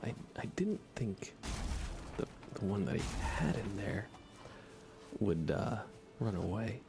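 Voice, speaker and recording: A man giving hushed, soft-spoken ASMR gaming commentary as a live streamer, often dropping into a whisper. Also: lowish voice, very animated, takes it slowly, creaky, noisy background. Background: music.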